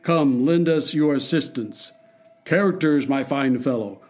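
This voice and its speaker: A man voicing a cruel, blustering king who mocks and bullies, speaking louder and higher than his own reading voice. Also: measured pace, soft background music, close to an everyday voice. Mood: domineering. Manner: loud, mocking, bullying.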